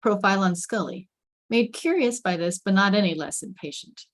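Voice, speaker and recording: A woman's voice: medium pitch, moderate pace, no echo, studio quality. A woman reading stage directions as dramatic scripted narration, with suspenseful, emphatic phrasing.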